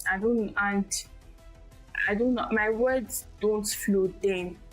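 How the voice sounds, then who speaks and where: A young woman speaks in a small, quiet voice as a guest tutor in a casual interview. She talks haltingly, often restarting her sentences. Faint music plays underneath. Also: mid-range voice, rough recording. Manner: soft-spoken, halting with restarts. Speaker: guest, tech skills tutor.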